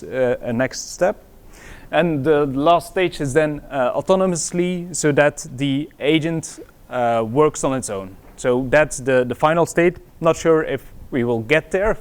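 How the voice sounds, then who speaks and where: A middle-aged man from Belgium with a Belgian accent, giving a conference presentation in a hesitant way with frequent fillers and restarts. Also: a little high. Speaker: conference speaker.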